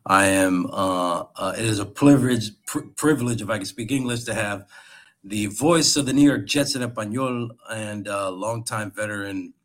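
A man's voice: lowish voice, normal speed, fairly bright tone. A man from Philadelphia who hosts the podcast and runs a company, interviewing a guest in friendly, affirming chat and often stumbling over his words. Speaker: podcast host, company CEO.

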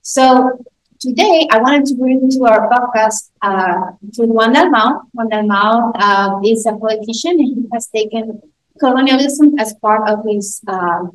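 A woman hosting a podcast in English, her second language after Spanish. Her voice sits a little high, and she talks haltingly, with frequent ums and restarts. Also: low-quality recording. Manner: halting, many fillers and restarts. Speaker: podcast host. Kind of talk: casual interview.

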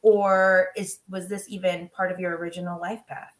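A woman's voice: fairly low pitch, little pitch movement, moderate pace. A woman hosting a podcast interview, enthusiastic and effusive, slipping Spanish phrases into her English with frequent ums. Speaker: podcast host.

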